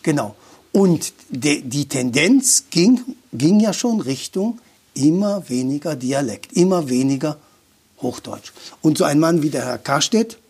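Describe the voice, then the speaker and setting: A man's voice: on the high side, lively intonation, unhurried, darkish tone, slightly rough. A man talking as a guest about dialect, thoughtful and opinionated, often restarting his sentences. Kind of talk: conversational explanation.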